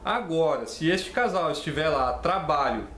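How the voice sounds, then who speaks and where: A man's voice: on the high side, expressive, moderate pace, fairly bright tone. A young Brazilian man in his twenties with a Brazilian Portuguese accent, speaking in a slightly raised register. He explains legal rules conversationally and reads them out while often checking in with his listeners. The recording is roomy and echoey, with a faint hum underneath. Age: 20s. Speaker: channel host explaining citizenship law. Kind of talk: casual explainer reading legal text. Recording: echoey room with faint ambient noise.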